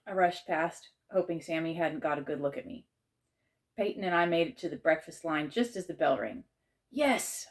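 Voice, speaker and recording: Low-pitched woman reading a children's story. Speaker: author reading aloud.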